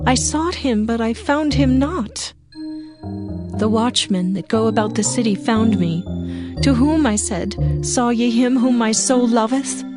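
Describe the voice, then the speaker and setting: Actress doing a devoted young bride, really slow solemn poetic bible drama, music behind her. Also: a little high, lightly acted. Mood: yearning, tender. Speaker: actor reading dramatized audio Bible. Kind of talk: dramatized scripture reading.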